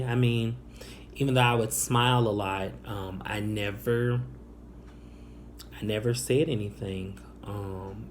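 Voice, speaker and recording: Young man, hesitant, lots of ums, soft-spoken, faint room noise. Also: lowish voice, creaky. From Northwest Indiana, Chicago area. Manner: hesitant, halting, many ums and restarts. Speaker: vlogger. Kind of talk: personal storytelling to camera.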